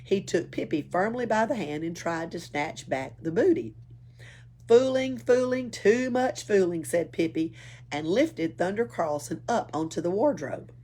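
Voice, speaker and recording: A woman's voice: on the low side, expressive, normal speed, a little mellow, husky. A woman reading a children's story aloud as a library storyteller. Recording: faint background noise, room ambience.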